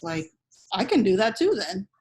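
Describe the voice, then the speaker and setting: A woman's voice: mid-range voice, sing-song, normal speed, a crowd in the background. A woman speaking casually and informally as a panel guest, loosely phrasing her thoughts with filler words. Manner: informal, frequent "like" and "you know". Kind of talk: casual unscripted panel talk.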